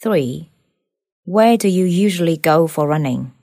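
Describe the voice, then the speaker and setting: A woman reading out speaking-test questions as an examiner in a plain, measured way. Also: fairly low pitch, animated, mellow, no room sound, studio quality.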